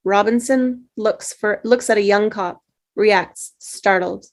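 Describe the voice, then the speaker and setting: Role: narrator. Sex: woman. Style: reading screenplay directions. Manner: steady, plain. Pitch: medium. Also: takes it slowly. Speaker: stage-direction reader.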